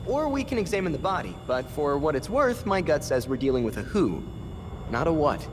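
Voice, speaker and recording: Game sidekick: youthful, fairly high voice, animated, earnest, analytical, music behind. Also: male voice, a little fast, background noise. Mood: earnest, thoughtful. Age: young adult. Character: earnest, analytical youngest male vigilante, eager to cooperate. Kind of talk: video game cutscene acting.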